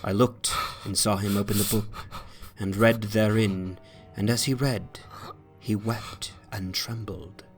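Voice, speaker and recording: A man giving a solemn, deliberate dramatic reading of old literary prose as narrator. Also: lowish voice, steady tone, soft background music.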